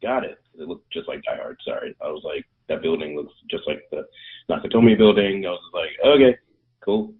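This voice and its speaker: Very deep-voiced man on a phone line. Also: expressive, a little fast. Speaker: podcast co-host. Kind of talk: casual movie chat. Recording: tinny phone-line audio.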